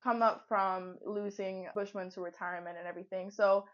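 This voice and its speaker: A woman's voice: mid-range voice, steady tone, brisk, highs cut off. A woman in her twenties from Finland, co-hosting a podcast in an upbeat, reflective, talkative way. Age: 20s. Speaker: podcast co-host and football player. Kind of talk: casual podcast chat.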